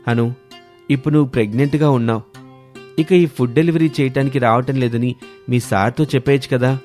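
A man's voice: medium pitch, steady tone, fairly quick, a little mellow, faint music behind, close to an everyday voice. Man playing a caring young husband. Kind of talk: animated moral story voice acting.

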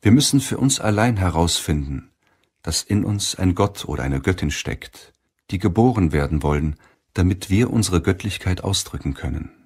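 Male audiobook narrator: low, husky, solemn and measured delivery. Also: expressive, darkish tone.

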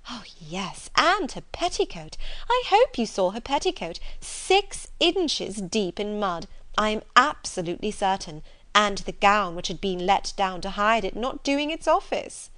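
A woman performs a haughty, gossipy young lady in a period audiobook. Her pitch sits high and leaps about with scorn. She leans hard on stressed words, drawing them out as if scandalised. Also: a bit bright. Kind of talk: audiobook character dialogue from a period novel.

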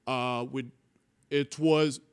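A man's voice: medium pitch, fairly even intonation, clean tone. Earnest young man with a Bulgarian accent. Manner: earnest, halting, restarts often. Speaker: guest, real estate wholesaler. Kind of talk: casual interview talk. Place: studio set with mountain mural. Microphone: studio mic on boom arm.

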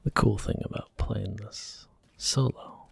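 A man talking softly through a video game in a slow, gentle ASMR whisper. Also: on the low side, gravelly, husky, no room sound. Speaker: ASMR gaming channel host. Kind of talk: soft-spoken ASMR gameplay commentary.

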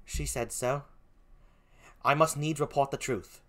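A performer voicing an outraged, loyal and grieving gentlewoman with a put-on British accent, in theatrical Shakespearean acting. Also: medium pitch, steady tone, unhurried, natural voice. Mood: guarded. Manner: declamatory, impassioned, theatrical. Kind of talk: classical Shakespearean stage acting.

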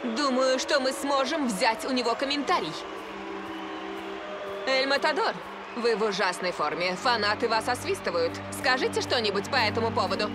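A woman voicing a brisk football commentator and interviewer in a cartoon dub, with an announcer's delivery. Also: on the high side, animated, lightly acted. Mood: announcing. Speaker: sports commentator. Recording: loud music behind the voice.

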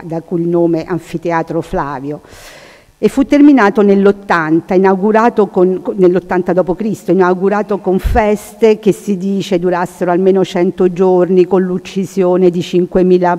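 A woman's voice: fairly low pitch, mellow. A woman giving an informal lecture in a large hall, often searching for her words. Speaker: conference speaker. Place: large hall, seated at panel table. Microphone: table microphone. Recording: long hall reverb.

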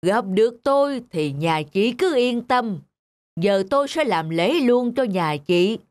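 An actor voicing a sly, greedy village sorcerer in an animated folk tale, making grandiose pronouncements in a pompous drawl. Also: male voice, very high voice, a little slow, dry recording.

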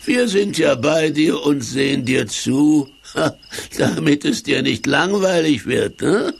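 Sly gleeful sidekick, creaky, very slow drawl, mock-sweet gloating, children's radio play. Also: male voice, fairly high pitch, animated, exaggerated voice. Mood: gleeful, teasing. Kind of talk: children's audio drama acting.

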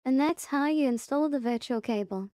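A woman narrating a casual software tutorial, instructing step by step and repeating her reminders. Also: high voice, normal speed, no room sound, clean studio sound. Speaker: tutorial presenter.

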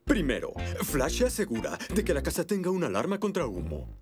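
A jovial cartoon fire chief lectures children with showy confidence. His fairly high voice swings dramatically, with music behind. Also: huge pitch swings, a little fast. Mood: proud presenting. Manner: showy, instructive. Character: jovial, self-assured male fire chief instructing children. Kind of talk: animated cartoon acting, safety lecture.

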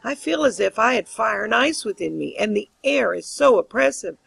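Woman reader as storybook lark, caged and dying; mournful, gentle, very creaky, swooping intonation. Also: fairly high pitch, animated, normal speed, no echo, exaggerated voice. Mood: anguished lament. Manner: plaintive, lamenting. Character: dying captive lark, sorrowful, gentle, lamenting.

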